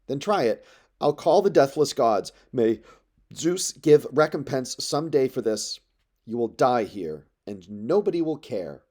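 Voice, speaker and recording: A man reading aloud plays an indignant young prince in an epic tale. He is defiant and breathless, flaring into forceful outbursts, with a softly mellow tone. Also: mid-range voice, very animated, unhurried. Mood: angry, defiant. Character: indignant young prince defying his mother's arrogant suitors. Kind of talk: dramatic epic poetry read aloud.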